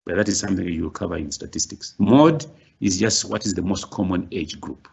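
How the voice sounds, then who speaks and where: A man's voice: low voice, brisk, gravelly, a little mellow, breathy edge. A middle-aged man giving a university workshop lecture, explaining step by step with frequent restarts and sudden rising stresses on key words.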